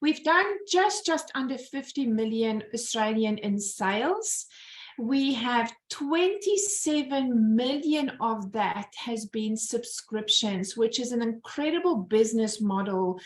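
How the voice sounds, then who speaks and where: A woman's voice: fairly high pitch, lively intonation, takes it slowly. A South African woman in her fifties, telling her personal story in a presentation over a video call. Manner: earnest storytelling with rhetorical questions. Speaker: business owner giving a presentation. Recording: roomy echo.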